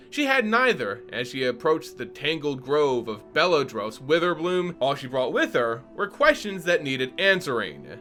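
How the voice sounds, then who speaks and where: A man narrates a fantasy story with theatrical, playful flair. His voice is bright and lively, rising and falling a lot, with soft music playing underneath. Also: a little high. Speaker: channel host and narrator. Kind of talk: dramatic story reading.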